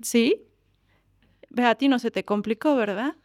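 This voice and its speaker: Lady radio host, kinda high voice, dry studio sound, coaxing a kid along slow. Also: no echo. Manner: patient, coaxing, prompts a child step by step. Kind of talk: community radio presenting and interviewing.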